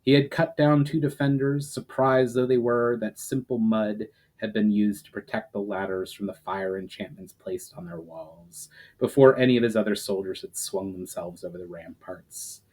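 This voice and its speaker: A man reading fantasy fiction aloud as a dramatic, measured narration. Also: lowish voice, steady tone, a little mellow, low-quality recording.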